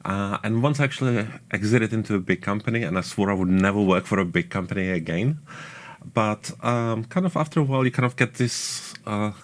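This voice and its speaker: A man's voice: fairly low pitch, brisk. A man who grew up in Australia, an engineering manager answering panel questions in a chatty way, correcting himself often and using many fillers.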